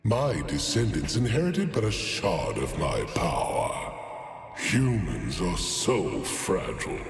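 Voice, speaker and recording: A man voicing a haughty, ancient celestial dragon god in a video game, pompous and theatrical, with drawn-out disdain. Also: deep voice, huge pitch swings, creaky, exaggerated voice. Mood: weary, imperious. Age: older. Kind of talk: video game character voice lines. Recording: echoing hall reverb over music.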